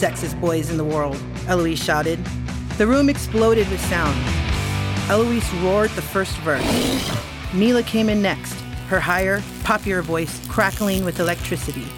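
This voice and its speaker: A woman narrating a scripted children's podcast story with animated, emphatic, expressive phrasing. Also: lowish voice. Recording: music behind the voice.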